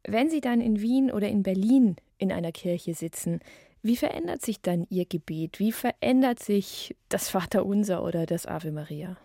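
Woman, radio interviewer, from Bavaria, mellow voice, engaged questions, dry close mic. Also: medium pitch, lively intonation, moderate pace, vocal fry, no echo. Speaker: radio host.

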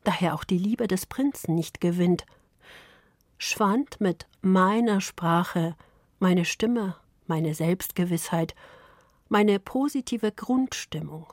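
A woman from Poland, Polish native, narrating with a Polish accent in a soft, slow, intimate read. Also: lowish voice, no echo, studio quality. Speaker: radio author and narrator. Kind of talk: scripted reflective narration.

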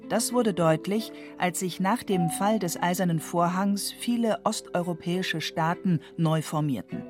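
A woman reading a scripted documentary narration in a measured, even way into a studio mic. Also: fairly low pitch.

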